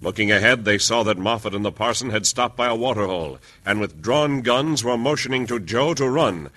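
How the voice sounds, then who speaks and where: A man's voice: lowish voice, expressive, a little fast, sharp tone. An American man narrating a vintage radio adventure as announcer, in a dramatic scripted style. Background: music.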